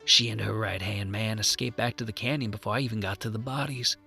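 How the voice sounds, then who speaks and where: A man acts as a stern frontier sheriff in a western audio play. His voice is very hard-edged and gravelly, folksy and weighty, with faint music behind it. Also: lowish voice, fairly even intonation, quick pace, sharp tone, full character voice. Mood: grim resolve. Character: grim, dogged Old West sheriff hunting outlaws. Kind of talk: Old West audio roleplay acting.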